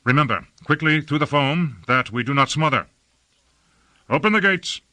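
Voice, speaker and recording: A man's voice: mid-range voice, a little fast, bright, forceful tone, no echo, pitched above the performer's own voice, natural voice. A man voicing a commanding, imperious lord who barks clipped, urgent orders at soldiers in an audiobook story. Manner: clipped, barked military orders.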